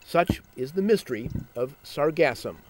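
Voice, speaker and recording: A man giving a scripted, measured narration for a science news segment. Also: fairly high pitch, lively intonation, slight background noise, rough recording.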